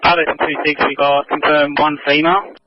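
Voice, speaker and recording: A man's voice: a little high, level tone, brisk, no echo. An Australian man, a police officer in the field, giving reports over a police radio. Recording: dull lo-fi police radio transmission.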